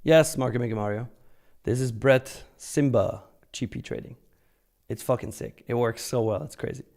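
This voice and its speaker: A man's voice: medium pitch, normal speed, creaky, a little mellow. A man hosting a live trading stream, chatting casually and informally as he comments and answers viewers, joking and swearing freely. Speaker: live stream host, futures trader.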